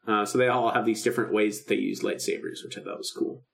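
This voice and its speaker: A man's voice: fairly low pitch, fairly even intonation, fast talker, creaky, a bit bright. A man co-hosting a podcast in a casual chat, talking informally and animatedly as he acts out bits of dialogue. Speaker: podcast co-host. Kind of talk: casual chat about a film radio drama.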